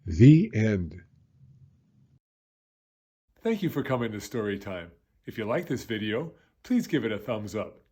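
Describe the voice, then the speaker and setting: Expressive man reading a children's story, mellow, slightly husky. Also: fairly low pitch, a little slow, pauses often. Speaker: story time reader and host. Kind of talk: children's picture book read-aloud, scripted narration.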